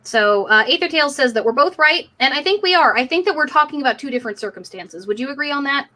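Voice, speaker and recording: A woman's voice: high voice, expressive, fast talker, pushed and bright. A woman hosting a live community discussion stream, speaking in an emphatic, outspoken, casual way. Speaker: livestream host.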